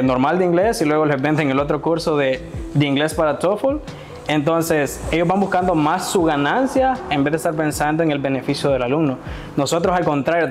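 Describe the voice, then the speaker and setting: A man's voice: a little high, slight background noise. A young man in his twenties from El Salvador, eagerly explaining his language school in a casual interview, with many fillers. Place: small classroom, indoors. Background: soft background music.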